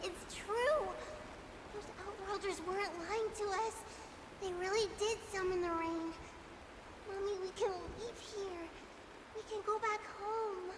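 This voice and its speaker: An actress playing an excited, hopeful little child in a video game, breathless and eager. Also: female voice, extremely high pitch, unhurried. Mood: breathless joy. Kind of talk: dramatic video game voice acting. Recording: noisy ambience over the voice.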